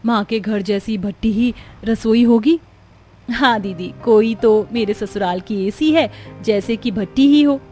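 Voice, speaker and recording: A young woman voicing an emotional married sister in a cartoon family drama, with expressive delivery. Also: fairly high pitch, measured pace, faint music behind, close to an everyday voice. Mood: chatty, sweet.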